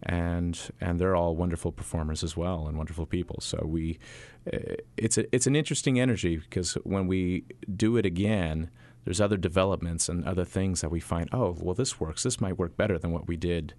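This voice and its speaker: Deep-voiced man, halting interview answers. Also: steady tone, darkish tone, dry recording. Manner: halting, many fillers and restarts. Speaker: stage actor.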